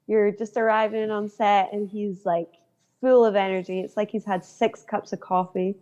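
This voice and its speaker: A woman's voice: medium pitch, level tone, moderate pace, mellow. A young woman from Northern Ireland, a guest actor chatting casually in an interview and telling stories with lots of fillers. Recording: dull lo-fi sound.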